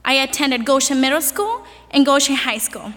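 Young woman, high voice, personal and a bit nervous, in a reverberant hall. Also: expressive, normal speed, bright, forceful tone. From Venezuela. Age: young adult. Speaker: graduating student speaker. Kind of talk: personal prepared speech to an audience. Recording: echoey hall with long reverb.

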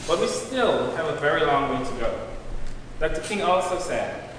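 A man's voice: fairly high pitch, lively intonation, moderate pace, fairly bright tone. A man giving a dramatic, declamatory spoken word recitation. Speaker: student performer in a spoken word program. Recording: very echoey hall, distant, background hiss.

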